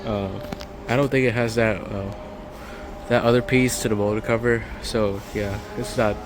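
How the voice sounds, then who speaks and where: A man's voice: lowish voice, fairly even intonation, normal speed, music in the background. A man giving casual walkthrough commentary as a vlogger on a handheld recording inside a large warehouse store. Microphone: handheld phone or camera. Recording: clear store ambient noise.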